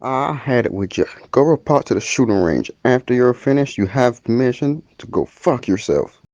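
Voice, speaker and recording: A man's voice: mid-range voice, animated, moderate pace, darkish tone, no room sound, lo-fi sound, lightly acted. A man playing a contemptuous, bullying drill officer who questions recruits with mocking scorn. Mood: dismissive. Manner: mocking, scornful, foul-mouthed interrogation. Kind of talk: scripted game cutscene acting.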